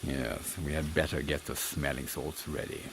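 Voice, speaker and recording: A man voicing a composed British captain of Victorian secret agents, measured and formal, in a comic audio drama. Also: rumbling low, gravelly. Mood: resigned. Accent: British English. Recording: faint background noise.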